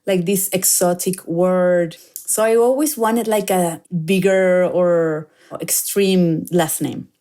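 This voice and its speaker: A woman's voice: fairly low pitch, a little mellow. A woman in her thirties from Chile with a Chilean Spanish accent, telling her story as a guest, reflective and peppered with "like". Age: 30s. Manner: reflective, with frequent "like" fillers. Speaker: guest filmmaker. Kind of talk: personal storytelling in an interview.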